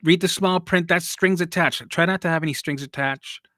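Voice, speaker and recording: A man's voice: a little high, moderate pace, pushed and bright, no echo, studio quality. A man hosting a casual live stream as a recovery coach. He rambles and repeats himself, swears casually and laughs at himself as he answers viewers.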